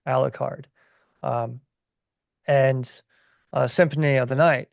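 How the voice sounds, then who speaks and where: A man with a creaky, gravelly voice of medium pitch, recorded dry and close. He talks casually on a podcast, choosing his words haltingly. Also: steady tone, dry recording. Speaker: podcast co-host or guest.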